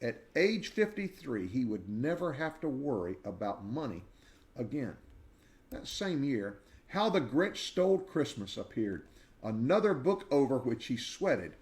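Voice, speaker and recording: A man in his sixties reading a children's biography aloud in a measured, expressive storyteller's way, with dramatic pauses. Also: mid-range voice. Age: 60s. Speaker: read-aloud narrator.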